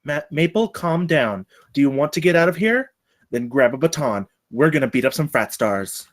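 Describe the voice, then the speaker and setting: An actress playing an earnest, exasperated young campus guard in a comedy table read. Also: female voice, low voice, animated, moderate pace. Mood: resolute.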